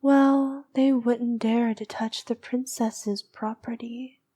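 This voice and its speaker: Woman acting a slow, soft-voiced haughty vampire princess. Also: on the high side, fairly even intonation. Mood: teasing, possessive. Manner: soft, regal, teasing, sometimes dropping to a whisper. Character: noble, haughty but needy vampire princess. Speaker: ASMR roleplay performer. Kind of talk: slow intimate ASMR roleplay acting.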